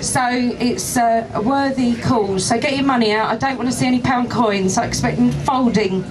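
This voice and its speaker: A woman with a loud voice hosting a live event, joking and brisk with the audience. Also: on the high side, over music, poor audio. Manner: jokey, brisk. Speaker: event host. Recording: live event with crowd noise.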